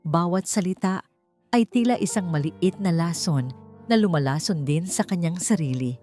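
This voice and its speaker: A woman with a Filipino accent narrating a scripted romance story in slow, deliberate, dramatic phrasing. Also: on the low side, warm tone, faint music behind.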